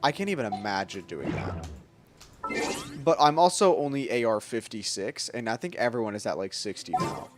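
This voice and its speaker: A man's voice: fairly low pitch, very animated, moderate pace. A man streaming a game with casual, jokey, slangy commentary. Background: game music.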